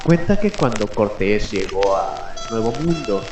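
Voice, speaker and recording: A man hosting a late-night mystery radio show, speaking in dramatic, deliberate phrases with pauses between them. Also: fairly low pitch, animated. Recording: echoey voice over background music. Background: music.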